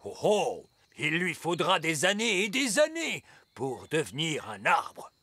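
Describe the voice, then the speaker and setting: A woman's voice: low-pitched, big pitch swings, unhurried, fairly bright tone, slightly rough, no echo, studio quality, heavily acted. A woman voicing a stern, grumbling wise old elf teacher in a French cartoon dub, huffing with disapproval. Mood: disapproving.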